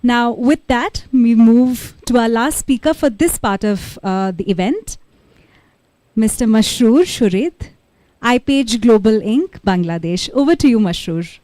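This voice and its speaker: A woman moderator thanks one speaker and introduces the next at a conference in a measured, formal way. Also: fairly high pitch, expressive, warm tone, dry recording.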